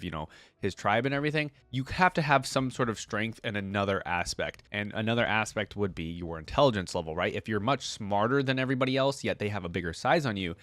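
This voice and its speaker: A man's voice: medium pitch, a bit bright, no echo. A man hosting a reaction video in a casual, chatty way, reading aloud and adding informal explanatory asides to viewers.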